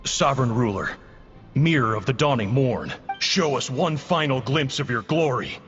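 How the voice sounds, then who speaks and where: An actor playing a sardonic, defiant fighter in a video game, dry and taunting. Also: male voice, medium pitch, lively intonation, normal speed, a bit bright, natural voice. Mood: wry, resolute. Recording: dull sound with faint music.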